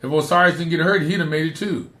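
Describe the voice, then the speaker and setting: Man, rough voice, middle-aged, podcast host, fairly high pitch, echoey room. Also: fast talker, fairly bright tone. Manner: emphatic, repeats points for stress. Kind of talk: casual advice chat.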